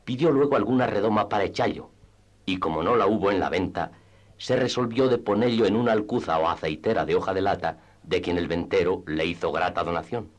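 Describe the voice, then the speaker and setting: A man's voice: low voice, brisk. A man narrating a classic literary tale for radio theatre in a scripted storytelling style.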